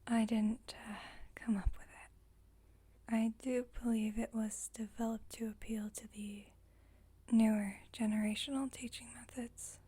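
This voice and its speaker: A woman playing a composed, formal vampire coven leader in an ASMR roleplay, whispering softly in a measured, instructive, gently wry way. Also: on the high side, level tone. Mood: wryly instructive. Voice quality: breathy, whispered, soft.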